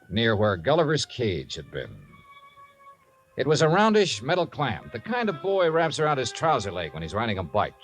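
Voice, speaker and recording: A man's voice: mid-range voice, lightly acted, low-quality recording. An actor playing a shrewd, wry male investigator in a 1950s radio drama, with a dry, clipped delivery. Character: wry, shrewd male investigator narrating his own case. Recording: 1950s radio recording with music.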